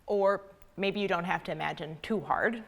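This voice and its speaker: A young adult woman from the United States giving a prepared public talk in an earnest, measured way with deliberate pauses. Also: on the low side, gravelly. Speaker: graduate student speaker.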